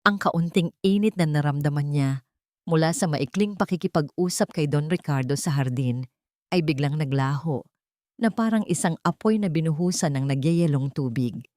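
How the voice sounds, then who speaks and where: Female storyteller, low-ish and soft-toned, measured dramatic narration, close dry sound. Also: no room sound.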